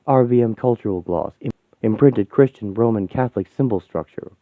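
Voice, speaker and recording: A man's voice: lowish voice, brisk, dry recording, natural voice. A man voicing a cold alien machine intelligence in a story, giving clipped, cryptic technical announcements. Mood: clinical. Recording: thin phone-like sound.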